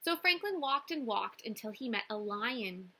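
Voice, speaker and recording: Bright, animated young woman reading a storybook. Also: a little high. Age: young adult. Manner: deliberate, animated phrasing. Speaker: teacher reading a picture book. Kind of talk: expressive storybook read-aloud for children. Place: small indoor room with classroom wall displays.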